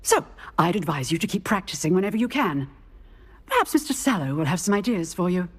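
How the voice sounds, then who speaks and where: A woman's voice: fairly low pitch, sing-song, a little fast, slightly rough. A woman voicing a strict, demanding female magic professor, with a formal, commanding delivery. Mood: stern. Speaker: professor teaching dueling. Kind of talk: video game voice acting.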